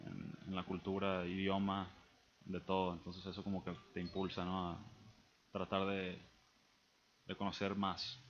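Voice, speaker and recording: A young man with a Mexican accent, a guest on a casual radio interview, relaxed and hesitant with many fillers. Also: sits low, measured pace, pauses often, lo-fi sound, a little hiss or hum. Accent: Mexican Spanish.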